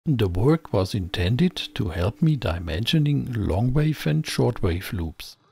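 Husky, creaky man narrating a technical lesson. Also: mid-range voice, a little mellow, no room sound. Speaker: presenter of an electronics tutorial channel.

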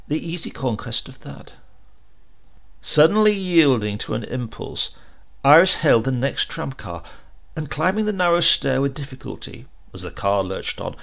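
A man's voice: lowish voice, creaky, a bit bright. A man narrating a horror story as a dramatic audiobook reading.

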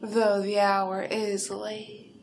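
Very slow, seductive vampire villainess played by a woman. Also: mid-range voice, completely flat delivery, slight background noise, poor audio. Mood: hungry, teasing. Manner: slow, breathy, deliberate, often dropping into whispers. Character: seductive hungry vampire villainess, hypnotic and poised. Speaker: roleplay performer. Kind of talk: ASMR hypnosis roleplay, in character.

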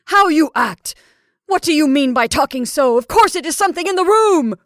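A woman voicing a rigid, sharp-tongued woman in a story, curt and indignant, holding herself in control. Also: medium pitch, slow, no room sound, clean studio sound. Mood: furious.

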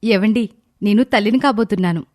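A young village mother acted by a woman in a storybook cartoon. She speaks at a medium pitch in a plain, homely way. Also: a little fast, darkish tone, natural voice. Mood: shy, happy. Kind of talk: animated storybook character acting.

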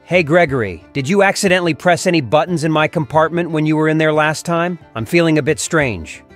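A man plays a courteous robot bear in a cartoon dub. His voice sits on the higher side for a man. He is anxious and protective, often hesitant and stammering, with music behind him. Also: brisk, natural voice. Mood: apologetic. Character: polite, anxious male bear animatronic, protective and apologetic. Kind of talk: comic dub character acting.

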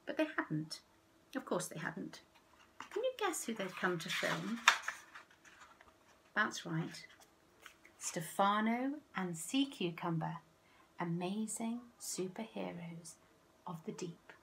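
Female storyteller, middle-aged, expressive picture-book read for young children. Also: lowish voice, slow pace, pauses often, slight background noise, low-quality recording. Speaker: children's author reading own picture book. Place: outdoors in a back garden.

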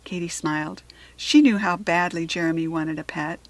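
A woman with a standard American accent reading a children's chapter book aloud in an expressive, teacherly way. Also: fairly low pitch, moderate pace, dry recording, poor audio.

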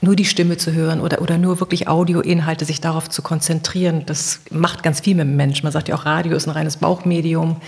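A woman's voice: low-pitched, level tone, fairly quick, gravelly, few pauses, a little mellow. A woman hosting a podcast, speaking in a conversational interviewing style.

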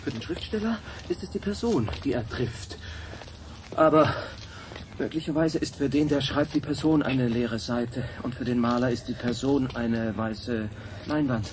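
An actor playing a thoughtful, earnest man explaining art in deliberate radio drama dialogue. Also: medium pitch, natural voice. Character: thoughtful male aesthete explaining painters and writers, earnest. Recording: clear background noise.

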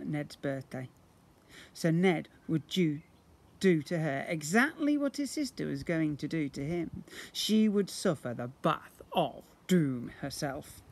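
A British man in his fifties reads a children's storybook aloud like a teacher, theatrically, with drawn-out emphasis and acted sound words. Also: on the high side. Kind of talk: expressive children's storybook reading. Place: outdoors beside brick houses. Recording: noisy outdoor amateur video recording.

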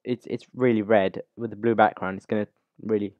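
A man hosting a casual, unscripted software tutorial, talking through the steps informally as he goes. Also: lowish voice, fairly even intonation, a little fast, no room sound, narrow, tinny audio. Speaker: YouTube tutorial host.

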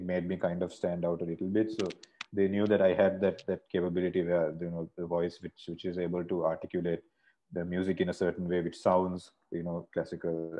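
Low, flat-voiced man on a video call. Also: dull audio. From Sri Lanka. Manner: rambling, many restarts and fillers. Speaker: guest singer. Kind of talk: casual interview over video call. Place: bright room indoors. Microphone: earphone mic on a video call.

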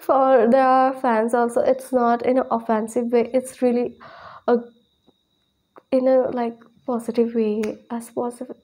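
A young woman with a fairly high voice chats to camera as a reaction host. She takes her time, pausing often, yet gushes with excitement and repeats words for emphasis. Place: small plain room indoors.

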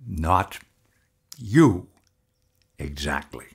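An actor playing an evasive, placating man with a secret in an audio drama, speaking hesitantly and deliberately. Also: low voice, big pitch swings, creaky, slightly rough, exaggerated voice. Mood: grave, hesitant. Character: evasive, soothing husband hiding an uncanny secret.

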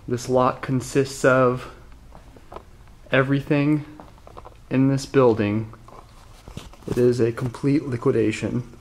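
A man narrating a slow walkthrough of an empty restaurant, listing items one by one. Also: medium pitch, level tone, slight background noise.

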